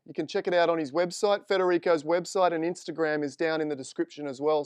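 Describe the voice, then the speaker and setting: A man's voice: a little high, a little mellow, clean tone, no echo, clean studio sound. A man with an Australian accent hosting a live stream interview, chatting informally and upbeat. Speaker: host. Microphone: desk microphone.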